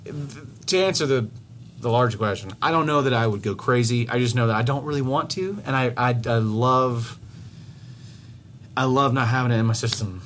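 A man of middle pitch co-hosting a laid-back podcast, rambling and restarting his sentences, swearing freely. A faint hiss of noise sits under his voice. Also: a little fast. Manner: rambling, restarts often, swears, animated when telling stories. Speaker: podcast co-host. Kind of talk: casual podcast chat.

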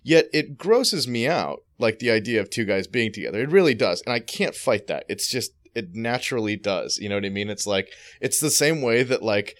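A man's voice: lowish voice, animated, moderate pace, hardly any pauses. A man co-hosting a podcast in casual chat, frank and crude, rambling with frequent restarts. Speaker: podcast co-host.

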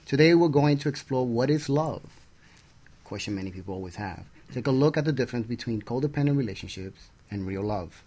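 A man's voice: medium pitch, lively intonation, brisk, warm tone. A man with a mid-range voice presents as the co-host of a talk radio show. His delivery is partly scripted, in the manner of show links and introductions.

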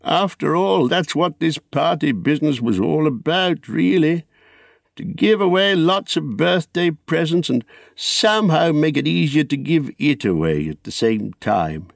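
A man's voice: a little high, expressive, unhurried, vocal fry, no echo, studio quality, voice pushed up. A man voicing a fussy, wistful, elderly hobbit in a storybook, with polite, rambling, exclamatory speech. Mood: rueful. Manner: polite, rambling, exclamatory old-fashioned English.

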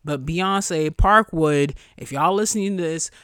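A young woman hosting a solo podcast in a casual, chatty way, blunt and profane, her voice jumping high when she gets excited. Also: unhurried, clear, resonant tone, no room sound, studio quality. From New York area. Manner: profane, blunt asides to listeners. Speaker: podcast host.

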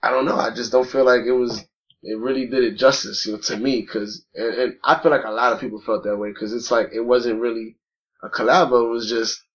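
A man's voice: medium pitch, flat delivery, brisk. Profane, rambling man on a dull mic. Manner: loose, profane, many restarts and fillers. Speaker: podcast co-host. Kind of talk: casual chat.

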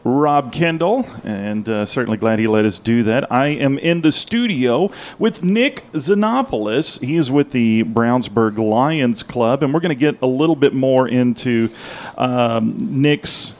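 A man interviewing a guest on radio in a conversational, unhurried style. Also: medium pitch, lively intonation, a little mellow. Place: radio studio.

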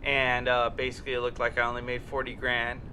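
A man with a bright, forceful voice talks loosely on a podcast, circling back on himself with "dude" and "you know". He swears freely. A faint hiss and rumble sit under the recording. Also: completely flat delivery, fast talker. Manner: rambling, profane, repeats filler words. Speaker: podcast host. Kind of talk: casual podcast chat.

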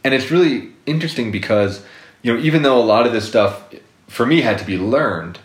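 A man's voice: mid-range voice, expressive, fairly quick, roomy sound. A man talking casually as a guest psychotherapist, rambling with lots of fillers and restarts. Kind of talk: casual interview talk.

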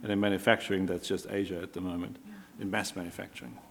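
A man's voice: low-pitched, level tone, quick pace, slight background noise. German-accented man in a reverberant hall. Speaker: panel speaker. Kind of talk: panel discussion.

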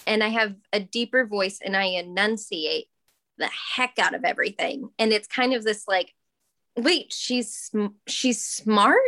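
A woman's voice: medium pitch, measured pace, sharp tone, dry recording. A woman hosting a podcast in casual chat, enthusiastic and full of fillers like "like" and "um". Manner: enthusiastic, uses many fillers like "like" and "um". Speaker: podcast host, actor.

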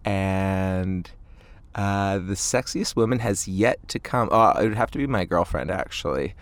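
A man in his late twenties chatting casually as an interview guest, thoughtful and halting in his phrasing. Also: sits low. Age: late 20s. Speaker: indie band frontman, interview guest.

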